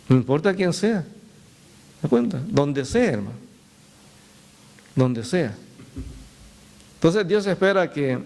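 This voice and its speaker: High-voiced pastor preaching. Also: male voice, darkish tone. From El Salvador. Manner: storytelling with laughs and direct appeals to the congregation. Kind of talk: sermon.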